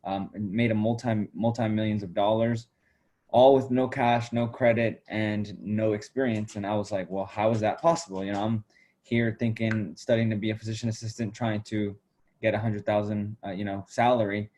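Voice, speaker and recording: A man of twenty-three telling his story as a podcast guest over a video call, relaxed and full of fillers. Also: fairly low pitch, moderate pace. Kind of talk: casual interview answers.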